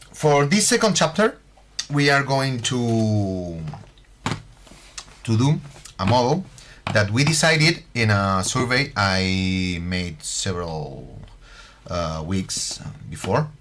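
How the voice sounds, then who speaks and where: A man from Spain, speaking English with a Spanish accent, hosts a model-building tutorial in a halting way with many fillers. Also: lowish voice, a bit bright, a little hiss or hum. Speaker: scale model hobby host. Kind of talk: unboxing tutorial.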